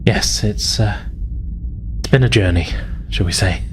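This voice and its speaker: A man voicing a polite, wistful woman officer who survived a crash, speaking haltingly in a tabletop roleplay. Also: on the low side, slight background noise, close to an everyday voice. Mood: grateful, hesitant. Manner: hesitant, halting.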